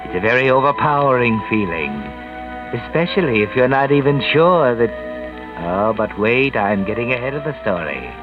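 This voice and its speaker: A man's voice: lowish voice, lightly acted. Man acting a sinister old-time radio mystery narrator. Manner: ominous, mocking, theatrical, rhetorical questions. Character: sinister all-knowing male mystery narrator, taunting a killer. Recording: dull vintage radio recording with music.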